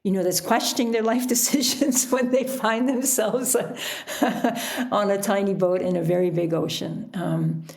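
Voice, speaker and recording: Creaky-voiced woman in reflective conversation. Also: medium pitch, fairly even intonation, measured pace, a little mellow. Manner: reflective, chuckles often while talking. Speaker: podcast guest, author and sailor. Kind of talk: casual interview conversation.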